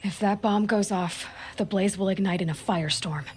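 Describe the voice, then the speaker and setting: An actress playing a determined, level-headed young hunter in a video game, focused and matter-of-fact. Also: female voice, mid-range voice, normal speed, darkish tone, faint music behind, close to an everyday voice. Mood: tense focus. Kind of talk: dramatic video game voice acting.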